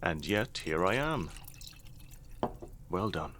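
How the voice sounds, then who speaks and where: A very deep, shrewd aristocratic father. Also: very animated, a bit bright, a little hiss or hum, heavily acted. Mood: dry, reproachful. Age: middle-aged. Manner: measured, formal, wry. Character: shrewd, dry-witted aristocratic father of a grown daughter. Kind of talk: audio drama acting.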